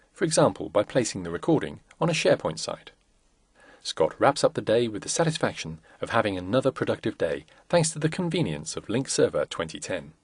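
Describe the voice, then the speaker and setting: A man reading scripted corporate narration for a product demo in a measured, instructional way. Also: lowish voice, gravelly, husky, no room sound, studio quality.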